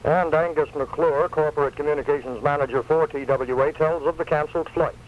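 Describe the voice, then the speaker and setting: A man's voice: on the high side, steady tone, fairly quick. A man reading scripted news as a radio newscaster. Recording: dull lo-fi AM radio cassette recording, faint noise.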